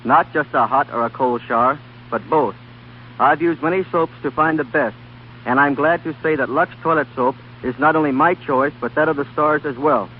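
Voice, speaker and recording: A man's voice: on the high side, moderate pace. A man with an American accent reading a scripted 1930s radio testimonial as a fitness trainer. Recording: very muffled, noisy old radio recording.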